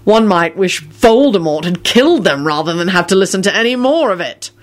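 A woman's voice: mid-range voice, lively intonation, natural voice. A stern, superior storybook man, acted out by a woman. He draws his words out with heavy sarcasm, sounding polite on the surface and scornful underneath. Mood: scathing. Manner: slow, drawling, heavily sarcastic.

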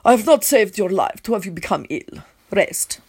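A woman voicing a calm, stern but kindly vampire prince, speaking in a measured, formal way. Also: mid-range voice, breathy edge.

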